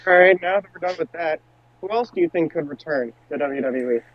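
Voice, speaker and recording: Higher-voiced man hosting a casual podcast. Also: fairly quick. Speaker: podcast host posing questions. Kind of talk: casual wrestling podcast chat. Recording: slightly muffled home voice-chat audio.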